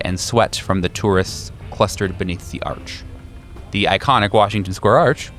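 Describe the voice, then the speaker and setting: A man's voice: lowish voice, a bit bright, a little hiss or hum. A man acting as game master, describing scenes and guiding players in a tabletop roleplaying game.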